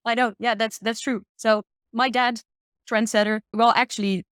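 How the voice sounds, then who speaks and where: A woman's voice: a little high, steady tone, moderate pace, a bit bright, smooth, no echo, clean studio sound. A woman explaining sustainability as an expert guest in a casual, upbeat discussion, thinking aloud as she goes.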